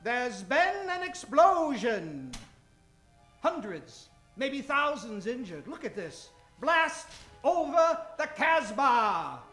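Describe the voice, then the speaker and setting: A man's voice: extremely high pitch, sing-song, slow, fairly bright tone, roomy sound, faint music behind, heavily acted. A man playing a breezy, patronizing professor adventurer with hearty, chummy patter in an old-time radio comedy. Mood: dramatic.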